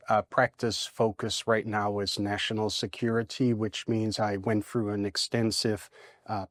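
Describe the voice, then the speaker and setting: German-accented man, halting speech. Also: fairly low pitch, dry recording, clean studio sound. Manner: halting, many fillers and restarts. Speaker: guest attorney. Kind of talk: studio interview. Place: TV studio.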